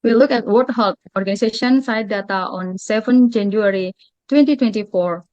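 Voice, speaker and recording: A woman's voice: a little high, a little mellow, no echo. Indonesian woman reading formally, in dull audio. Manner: formal, careful, stumbling over long numbers and phrases. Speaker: postgraduate research presenter. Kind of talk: scripted conference presentation, read aloud.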